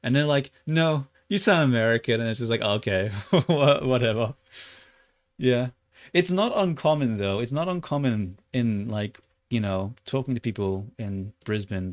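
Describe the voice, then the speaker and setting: A young Australian man livestreaming while he works, chatting loosely with lots of "like" and muttering curses at his software. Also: lowish voice, no echo. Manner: rambling and loose, heavy on "like", muttering and swearing at the software. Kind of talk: casual livestream chat while 3D modeling.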